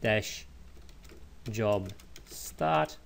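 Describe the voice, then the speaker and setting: A man with a fairly low voice narrates a software tutorial. He explains each step informally, in the manner of an instructor walking a learner through a screencast. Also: lively intonation, extremely slow, gravelly.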